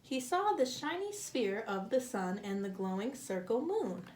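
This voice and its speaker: A woman reading a picture book aloud to children, expressively and with deliberate phrasing, as a storyteller. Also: on the high side, very animated, darkish tone.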